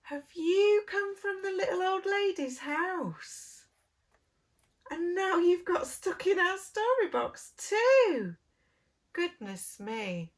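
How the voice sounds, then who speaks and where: A woman reading a story to young children, expressive, with exaggerated emphasis, as a preschool reader does. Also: extremely high pitch, slow pace. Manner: expressive, exaggerated emphasis, talking to little ones.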